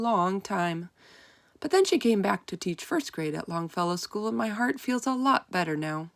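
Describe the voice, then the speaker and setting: A woman reading a children's story aloud in an expressive, emphatic way, stretching out key words. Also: medium pitch, dry recording, clean studio sound. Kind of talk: expressive children's read-aloud narration in first person.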